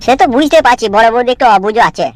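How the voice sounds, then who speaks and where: A man's voice: very high-pitched, lively intonation, very fast. A man voicing a placating, deferential henchman of a village boss in a comedy cartoon.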